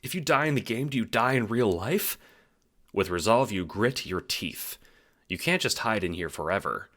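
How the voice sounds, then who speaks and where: Low bright guy doing dramatic second person story narration, really animated. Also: moderate pace. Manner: animated storytelling with casual swearing. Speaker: narrator and producer of an audio drama.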